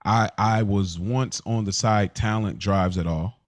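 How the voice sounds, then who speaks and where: A man's voice: lowish voice, unhurried. A man co-hosting a podcast in a casual, conversational style. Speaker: podcast co-host.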